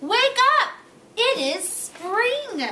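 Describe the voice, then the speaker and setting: Eager boy frog for a storybook, played by a woman, very high and exclamatory, echoey noisy room. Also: sing-song, extremely slow, exaggerated voice. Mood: excited, urgent. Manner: exclamatory, urgent calling. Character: excited, eager young male frog calling out to friend. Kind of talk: children's storybook read-aloud. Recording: echoey noisy classroom, far from mic.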